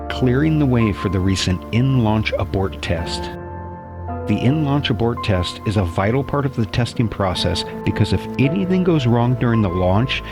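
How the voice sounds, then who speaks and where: A man hosting a web show, reading scripted science news as narration. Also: on the low side. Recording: voice-over with music bed.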